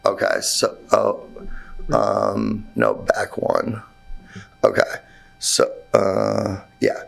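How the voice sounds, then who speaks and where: A man with a hoarse, worn-out voice presenting his building requests to a township board, talking in a halting way with many fillers and restarts. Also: on the low side, sing-song. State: hoarse, voice nearly gone. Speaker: contractor presenting to a zoning board. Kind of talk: informal presentation at a public meeting. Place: township meeting room.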